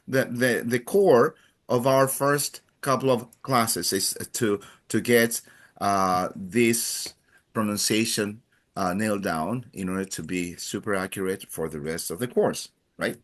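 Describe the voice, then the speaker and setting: A man's voice: mid-range voice, a little slow, fairly bright tone. A man from Chile with a Chilean accent, a native Spanish speaker, teaching a language class in an encouraging, playful way with many fillers.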